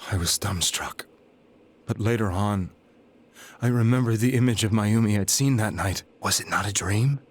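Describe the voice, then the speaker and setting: An actor playing a despondent, guilt-ridden young man in a video game, telling his story in a grave, halting way. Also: lowish voice, close to an everyday voice. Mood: grave, subdued. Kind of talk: dramatic video game voice acting, confessional monologue. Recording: background music under the voice.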